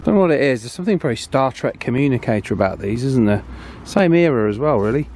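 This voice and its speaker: A man with a mid-pitched voice talks casually through a hands-on demonstration, thinking aloud as he goes. There is some faint noise behind him. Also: lively intonation, quick pace, a little mellow. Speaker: host of radio repair channel.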